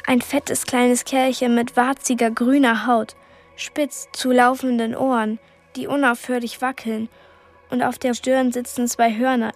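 Radio play lead: plucky girl of twelve, higher voice, dry and sarcastic. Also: little pitch movement, unhurried. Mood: sarcastic, repelled. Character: plucky, sardonic twelve-year-old girl heroine. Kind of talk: radio drama acting and first-person narration.

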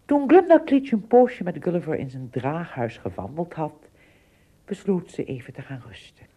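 A woman narrator tells a story for a radio drama. Her lowish voice is mellow and husky, with a very lively melody. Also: moderate pace.

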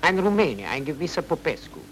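An actor playing an obliging but shady Viennese baron in a radio drama, with a courteous, theatrical, evasive manner. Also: male voice, on the high side, big pitch swings, brisk, slight background noise, rough recording. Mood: ingratiating.

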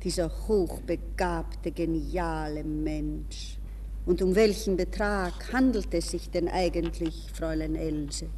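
Suave older rich guy, slow courteous condescension, echoing hissy 60s radio drama. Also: fairly high pitch, steady tone, warm tone. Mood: cool, patronising. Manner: measured, smooth, drawn-out courtesy. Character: suave, condescending older gentleman, wealthy family friend. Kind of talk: 1960s German radio drama acting. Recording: reverberant, hissy 1960s radio recording.

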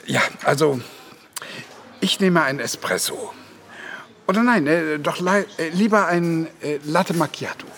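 Dreamy, slow, creaky older widower. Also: male voice, fairly high pitch, expressive, fairly bright tone, exaggerated voice. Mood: flustered, smitten. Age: 60s. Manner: solemn when reciting, hesitant and dry in talk. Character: dreamy, stubborn widowed pensioner, a poetry lover. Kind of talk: radio drama acting.

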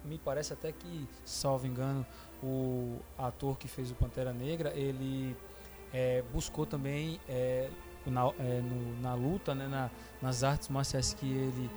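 A man co-hosting a casual film podcast, speaking haltingly with many fillers. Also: medium pitch, flat delivery, over music. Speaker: podcast co-host. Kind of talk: casual movie chat.

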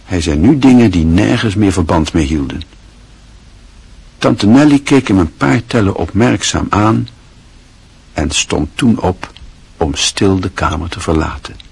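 A man narrating a radio play in a calm, measured storytelling manner. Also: low-pitched, gravelly, breathy edge, no echo.

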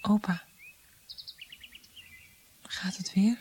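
An actress playing a gentle, concerned granddaughter in a radio drama, speaking softly and carefully. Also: female voice, medium pitch, fairly even intonation, drawn-out words, husky.

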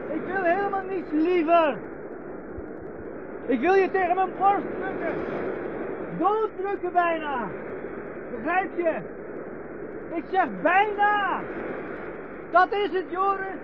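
Shrill, overwrought man declaring menacing love. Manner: declamatory, intense, emphatic. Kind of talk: 1970s radio drama acting. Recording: dull, noisy 1970s radio recording.

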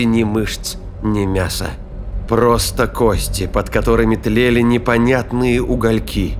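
A man's voice: lowish voice, slight background noise. A man narrating a sci-fi thriller audio drama in a scripted, dramatic storytelling style.

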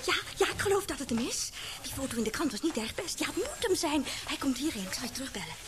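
An actress playing a chirpy, gossipy young newspaper receptionist in a radio play, courteous and chatty. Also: female voice, sits high, big pitch swings, quick pace, background noise. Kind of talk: Dutch radio play acting.

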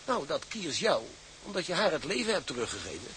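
Teasing sailor mate, bright sharp voice, wry, plain talker, period drama, faint noise. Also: male voice, on the high side, big pitch swings, a little fast, rough recording. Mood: wry, needling. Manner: needling, interrupting, soothing. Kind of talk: Dutch period drama acting.

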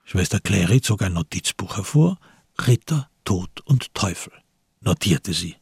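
Slow gravelly guy narrating a radio play, really husky, low voice. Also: expressive, darkish tone, no echo, studio quality. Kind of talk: scripted literary narration.

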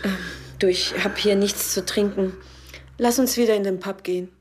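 A woman's voice: mid-range voice, steady tone, moderate pace, lightly acted. An actress playing a guarded, direct professional woman in a radio drama, speaking in brief phrases. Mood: careful, sympathetic. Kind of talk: radio play dialogue. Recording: music behind dialogue.

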